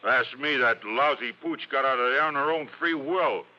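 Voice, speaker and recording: An actor playing a dim, belligerent working-class American crook in a golden age radio drama, slangy and ungrammatical. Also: male voice, medium pitch, normal speed, close to an everyday voice. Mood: blustering, irritated. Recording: dull old radio recording.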